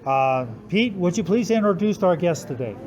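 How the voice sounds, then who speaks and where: A man hosting a conversational interview in a deliberate, reflective way. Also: sits high, animated, lo-fi sound, crowd noise. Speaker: podcast host.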